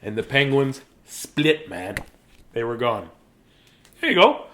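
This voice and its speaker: A man's voice: medium pitch, sing-song, takes it slowly, a bit bright. A man hosting a live bedtime reading, chatting casually in a rambling, self-deprecating way. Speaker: bedtime story reader and host. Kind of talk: casual live chat to viewers.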